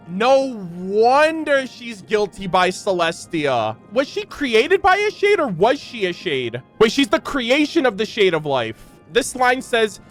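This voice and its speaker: Man, high-pitched voice, animated streamer reacting to game lore, incredulous, profane slang, soft music behind. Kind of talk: casual live reaction commentary, reading game lore aloud.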